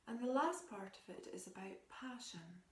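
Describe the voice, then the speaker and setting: A woman leads a guided meditation in a gentle, soothing, soft voice that often turns breathy and close to a whisper, with long pauses. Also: mid-range voice, lively intonation. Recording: roomy echo with faint background noise.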